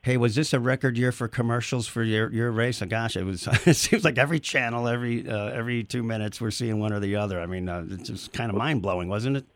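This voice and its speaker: A man hosting a live radio talk show from a studio, slightly hoarse, chatty, with frequent fillers and laughs. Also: mid-range voice, quick pace, few pauses, dry recording. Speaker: radio host. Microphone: studio microphone.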